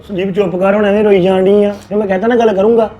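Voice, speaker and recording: A man's voice: high-pitched, little pitch movement, rapid-fire, muffled recording, over music, lightly acted. An actor playing a protective husband who reassures his crying wife and speaks firmly about the family. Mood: reassuring. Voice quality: reassuring, firm. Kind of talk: family drama acting.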